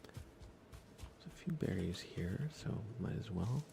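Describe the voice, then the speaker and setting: A man streaming ASMR in a soft, breathy whisper, chatting casually and gently while he plays a game. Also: low voice, animated, takes it slowly, noisy background. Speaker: livestream host. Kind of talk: ASMR livestream, casual chat while gaming.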